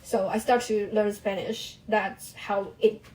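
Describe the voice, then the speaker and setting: A young woman whose first language is Mandarin Chinese, talking casually about her own experience in halting English with restarts. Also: mid-range voice, flat delivery, darkish tone, poor audio. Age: young adult. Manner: halting non-native English with restarts and small laughs. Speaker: vlogger. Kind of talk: casual personal monologue.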